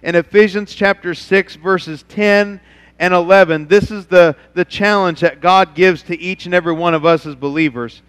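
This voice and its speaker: A man's voice: high voice, fairly even intonation, moderate pace, a bit bright, clear, resonant tone. A middle-aged man, preaching a sermon on a church stage and acting out God's voice in the quotes he tells. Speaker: pastor. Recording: reverberant church hall.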